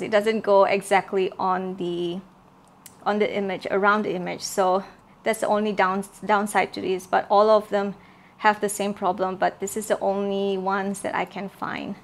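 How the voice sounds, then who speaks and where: Soft-spoken woman with a gravelly edge. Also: mid-range voice. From Malaysia. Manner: soft-spoken, many fillers and repeated words. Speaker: craft journal maker showing her kits. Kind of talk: casual show-and-tell craft video.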